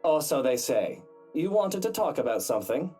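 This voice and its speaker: An actor playing a sardonic, hard-drinking wealthy husband in a game, with a theatrical, wry manner. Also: male voice, fairly high pitch, sing-song, moderate pace, mellow, husky. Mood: brooding. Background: game music.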